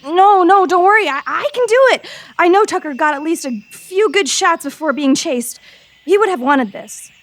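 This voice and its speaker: An earnest teenage girl reporter in an audio drama, played by a woman. The voice is very high and swoops expressively, with nervy stammers and a pleading edge. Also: expressive, moderate pace. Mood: shaken, determined.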